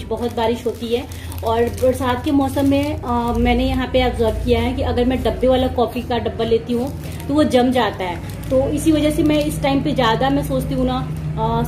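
Young woman, from India, home vlog, chatty, explains to viewers, higher voice, echoey kitchen. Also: background noise. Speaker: vlogger. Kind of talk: casual home vlog, showing groceries and explaining.

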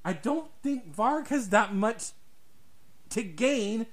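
A man's voice: high-pitched, lively intonation, slow. A man hosting a podcast, giving opinions in casual discussion, emphatic and given to swearing and repeating his points. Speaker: podcast host.

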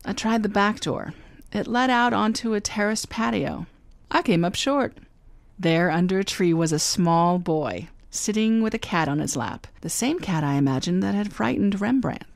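A woman's voice: medium pitch, lively intonation, moderate pace, gravelly, no room sound. A woman playing a wisecracking female private detective who narrates in wry, snappy hardboiled style, in a radio drama. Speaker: narrator and lead.

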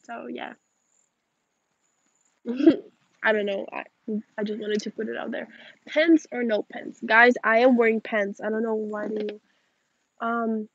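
A woman's voice: on the high side, fairly even intonation, measured pace, gravelly, long pauses, no echo, highs cut off. A young woman in her late teens from Moldova, a native Russian speaker, chatting informally outdoors as she reads and answers viewer questions. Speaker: livestream host. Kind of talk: casual chat, reading and answering viewer questions. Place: outdoors in a backyard garden. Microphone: phone or camera at arm's length.

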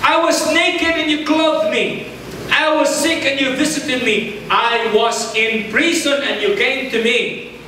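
A man's voice: very high-pitched, fairly even intonation, takes it slowly, sharp tone. A man in his thirties with a Filipino accent, preaching a sermon at a pulpit and switching between English and local languages, emphatic and calling on the congregation. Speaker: pastor. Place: large church hall, indoors. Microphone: handheld mic at a pulpit, filmed from far. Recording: echoey hall from far off with noise.

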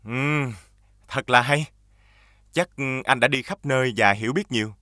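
A man voicing a genial, dignified government official with a hearty, formal manner in an animated fairy tale. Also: mid-range voice, a little slow, no echo, studio quality. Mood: approving.

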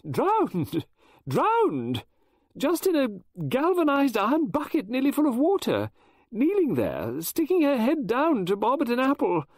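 A man doing a shaken middle-aged woman writer, breathless and faltering, with a raised voice that leaps all over the place. She restarts her sentences in a hurried rush. The recording is close and dry, with no room sound. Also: high-pitched, very animated, a little mellow, dry recording, voice pushed up, exaggerated voice. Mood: distraught. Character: shaken, flustered middle-aged female novelist.

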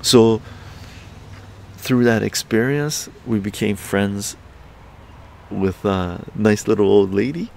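An older man from Canada, livestreaming from his outdoor patio, telling a story in an animated, laughing way with crude language. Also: takes it slowly, vocal fry, long pauses, slight background noise. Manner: animated, laughing, swearing, acts out quotes in higher voices. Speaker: livestream host. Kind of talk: casual storytelling to a live chat.

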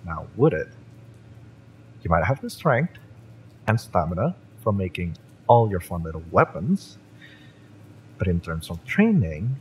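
A man's voice: on the low side, a little hiss or hum, low-quality recording. A man playing a fiery, bitter young mercenary in an audio roleplay, sardonic and taunting, with sighs and swearing. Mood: scornful. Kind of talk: romance audio roleplay acting, addressed to the listener.

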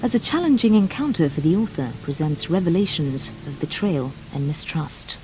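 A man's voice: on the high side, very animated, moderate pace. A man reading a scripted programme trailer as a radio announcer, on a noisy off-air recording.

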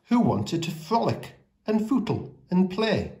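A man reading a children's picture book aloud as a storyteller, with expressive, deliberate delivery of the rhymes. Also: high-pitched.

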